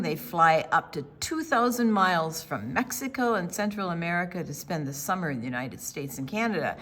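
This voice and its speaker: An older woman reading a picture book to children as a storytime presenter, with deliberate storyteller phrasing and dramatic pauses. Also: fairly low pitch, fairly bright tone.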